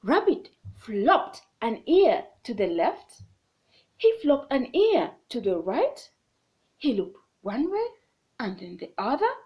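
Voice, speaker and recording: A woman reading a children's book aloud in an expressive, animated storytelling manner, pausing so a child can join in. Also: a little high.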